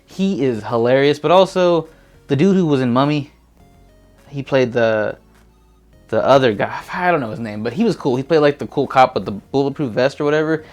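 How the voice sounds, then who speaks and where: Male co-host, medium pitch, relaxed slangy rambling conversation, faint music bed. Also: moderate pace. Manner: laid-back, slangy, rambling. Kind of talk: casual chat reviewing movies. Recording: music playing behind. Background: background music.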